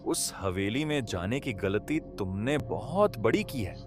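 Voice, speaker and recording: A man voicing a grave old village elder who gives warnings about dark secrets in a horror story. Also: lowish voice, very animated, unhurried. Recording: music behind the voice.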